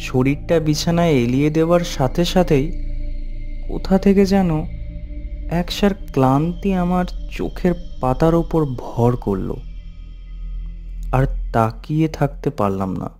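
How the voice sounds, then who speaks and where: A man voicing and narrating as a young man returning to his village in a horror cartoon, swinging between eager and hushed tones. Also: fairly high pitch, expressive, a little slow, pauses often, soft background music. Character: young man Ajit, returning home, anxious.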